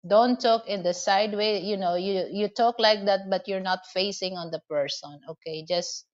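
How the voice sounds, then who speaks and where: A woman's voice: mid-range voice, moderate pace, lo-fi sound. A woman from the Philippines with a Filipino accent, chatting casually to her viewers and rambling with many "you know" and "okay?" fillers. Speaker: vlogger. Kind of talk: casual advice and storytelling to camera.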